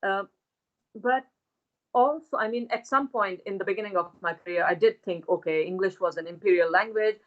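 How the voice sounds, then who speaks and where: A woman who is a linguist, speaking as a podcast guest in a hesitant way with many pauses. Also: medium pitch, muffled recording. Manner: hesitant, many fillers and restarts. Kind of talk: academic conversation.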